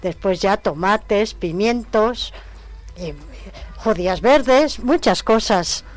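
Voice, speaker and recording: A woman talks about her allotment on a radio show. Her voice sits at an ordinary middle pitch. She answers in short, simple, homely sentences. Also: expressive, takes it slowly. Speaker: community gardener. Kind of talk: radio interview guest.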